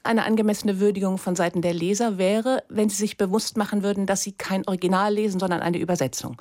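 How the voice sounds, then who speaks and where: A woman's voice: medium pitch, fairly even intonation, fast, darkish tone. A woman, answering radio interview questions as a guest in a considered, articulate way. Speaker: literary translator, interview guest.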